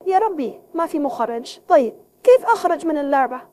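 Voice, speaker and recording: Man voicing a high-pitched, bewildered cartoon boy, AI-altered. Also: very animated, fast talker, a little mellow. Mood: dismayed. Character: bewildered young cartoon boy trapped in a game. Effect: AI voice changer. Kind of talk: amateur cartoon dub.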